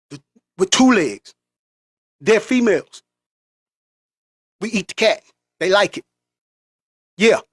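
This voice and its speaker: A man's voice: sits high, no room sound. Fired-up man ranting to camera. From United States. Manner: impassioned, preachy rant. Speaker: vlogger. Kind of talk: monologue.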